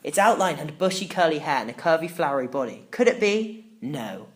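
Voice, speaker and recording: Female children's audiobook narrator, low register, very varied intonation, dramatic and emotive. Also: very animated, brisk. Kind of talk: expressive first-person children's story narration.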